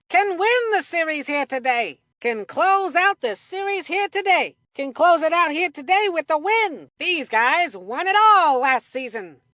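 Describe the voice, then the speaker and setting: A woman voicing an excitable, folksy cartoon baseball commentator for a children's video game, with colloquial, emphatic delivery. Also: very high-pitched, dry recording, studio quality, heavily acted.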